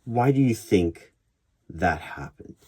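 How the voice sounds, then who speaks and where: A man playing an interviewer in an ASMR job interview roleplay, putting probing questions in a measured way. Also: fairly low pitch, animated, dark tone. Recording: clear background noise. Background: computer keyboard typing and clicks.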